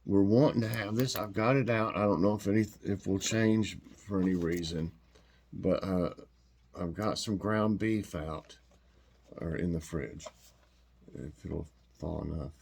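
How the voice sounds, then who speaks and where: A man's voice: low-pitched, a little slow, vocal fry, a little mellow, husky. A man with a stuffed-up, congested voice, chatting casually and rambling with lots of fillers as he hosts a taste test. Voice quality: stuffed-up nasal voice. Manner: rambling, homey, full of fillers and restarts. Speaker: vlog host.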